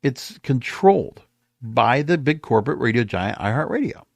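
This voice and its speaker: A man's voice: medium pitch, sing-song, normal speed, creaky, dry recording, clean studio sound. A man hosting a solo podcast, giving opinionated commentary in an emphatic, rambling way and often repeating himself. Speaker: podcast host.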